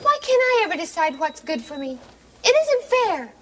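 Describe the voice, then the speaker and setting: A woman's voice: extremely high pitch, big pitch swings, moderate pace, background noise. A woman playing a sheltered, defiant young maiden in a fairy tale drama, pleading and petulant. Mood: protesting.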